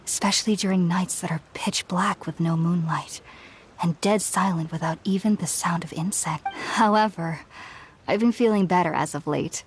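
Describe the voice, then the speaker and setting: A woman's voice: fairly low pitch, a little hiss or hum, natural voice. Woman playing an earnest, formal young female researcher. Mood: solemn, candid. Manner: formal, measured, precise, earnest. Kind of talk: scripted game character dialogue. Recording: music behind the voice.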